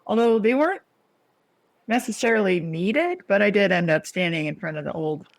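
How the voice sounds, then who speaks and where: Woman, podcast co-host, medium pitch, gravelly edge, chatty enthusiastic chat. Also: lively intonation, normal speed, no room sound, studio quality. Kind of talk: casual chat.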